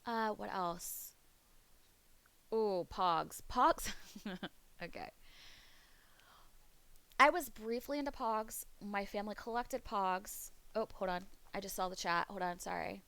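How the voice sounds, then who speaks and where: A woman streamer chatting casually on a live webcam stream, rambling, laughing often, and going hoarse as she loses her voice. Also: medium pitch, slow pace, long pauses, fairly bright tone, no room sound. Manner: rambling, laughs often, reads chat aloud. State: losing her voice. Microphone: desk mic on a webcam stream.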